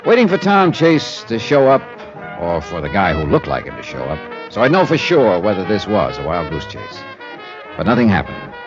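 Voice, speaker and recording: Hard-boiled radio detective, deep voice, lively intonation, wry, clipped, dull vintage recording. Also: male voice, moderate pace, full character voice. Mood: wry, narrating. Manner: wry, clipped, casual tough-guy talk. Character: hard-boiled male insurance investigator, wry and probing. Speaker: detective narrator and lead. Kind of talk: 1950s radio detective acting. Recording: dull old radio recording, music behind. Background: jazz music.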